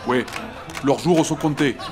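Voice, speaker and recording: A man's voice: mid-range voice, unhurried, over music, a crowd in the background, close to an everyday voice. A man playing a frustrated young French First World War corporal, blunt and argumentative. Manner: blunt, exasperated, argues hard. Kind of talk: amateur comedy audio drama.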